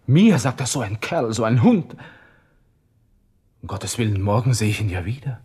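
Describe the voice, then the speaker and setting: An actor playing a panicked, proud young army lieutenant in a radio drama monologue, talking to himself with a Viennese accent. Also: male voice, mid-range voice, lively intonation, moderate pace, husky. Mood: furious, fearful. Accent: Viennese Austrian. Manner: agitated self-talk, from hushed muttering to outbursts.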